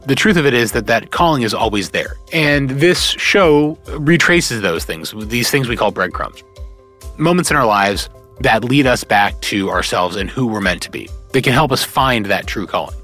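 A man's voice: mid-range voice, expressive, normal speed, bright, forceful tone, soft background music. Earnest man hosting a podcast intro. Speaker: podcast host. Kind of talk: scripted show introduction.

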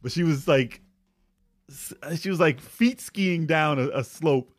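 A man's voice: a little high, unhurried, no echo. A man co-hosting a podcast, chatting informally and giving his opinions on movies. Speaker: podcast co-host. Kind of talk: casual chat about movies.

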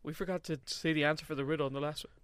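A man's voice: fairly high pitch, steady tone, very fast, a bit bright, smooth, no room sound. A young man co-hosting a casual podcast, joking around, laughing easily and repeating lines back. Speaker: podcast co-host. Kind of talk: casual chat.

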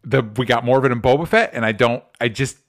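A man's voice: medium pitch, fast, crowd noise. A man co-hosting a podcast in casual chat, rambling with restarts and swearing freely. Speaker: podcast co-host.

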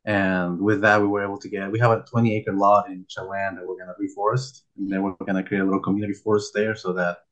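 A man's voice: low voice, little pitch movement, quick pace, hardly any pauses, a bit bright. A young man from Peru, talking casually and a bit rambling with many fillers, as a guest on a video call. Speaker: guest, nonprofit founder. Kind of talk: casual interview chat.

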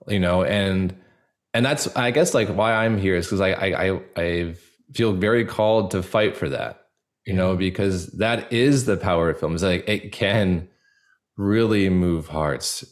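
A man speaking as an interview guest in a casual, earnest way, pausing often as he searches for words. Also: low-pitched. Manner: halting, searching, full of pauses and filler likes.

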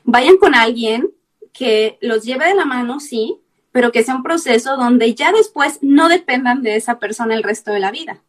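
A woman's voice: fairly high pitch, expressive, normal speed. A woman hosting an interview as a fellow nutritionist, asking questions and giving friendly advice.

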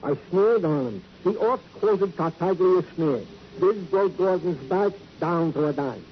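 An actor playing a fussy, pompous American police sergeant sidekick, speaking with comic formality in 1950s radio style. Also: male voice, high-pitched, expressive, unhurried. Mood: smug, chummy. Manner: pompous, mock-formal, officious. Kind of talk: 1950s radio drama acting. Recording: dull, noisy vintage radio recording.

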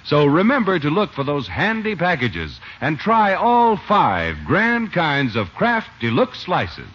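A man's voice: a little high, huge pitch swings, slow, a bit bright. A man announcing in the scripted style of a 1950s radio sponsor spot. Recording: dull, noisy old radio recording.

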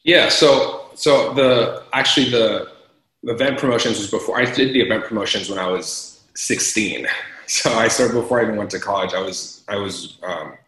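A man's voice: lowish voice, normal speed, fairly bright tone, reverberant, rough recording. A young man from Los Angeles, a guest in an interview, telling his story in a chatty way with frequent laughs. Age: young adult. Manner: chatty, laughs often, lots of fillers. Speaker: entrepreneur guest. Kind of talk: casual interview chat.

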